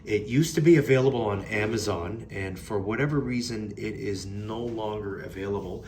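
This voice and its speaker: Low-voiced man, noisy recording. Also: normal speed, a little mellow. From Canada. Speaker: product reviewer. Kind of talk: casual unscripted product review.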